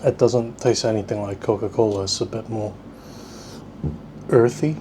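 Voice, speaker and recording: A man's voice: lowish voice, fairly even intonation, normal speed. A man hosting a casual food-tasting vlog, rambling and thinking aloud in a self-deprecating way. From South Korea, at least partly. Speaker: vlog host. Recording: clearly noisy ambient background. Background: ambient noise.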